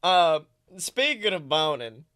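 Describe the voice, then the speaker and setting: A man's voice: fairly high pitch, big pitch swings, slow pace, bright, forceful tone, clear, resonant tone, dry recording. Dry, close-miked male podcast co-host. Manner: jokey, crude humor. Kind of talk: casual comedic chat.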